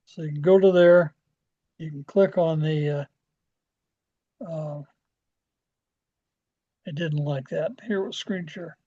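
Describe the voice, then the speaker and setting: An American man giving an informal software walkthrough as a club trainer, halting and often trailing off as he rambles along. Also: fairly high pitch, steady tone. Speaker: presenter training radio club members. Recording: dull, lo-fi screen-share audio.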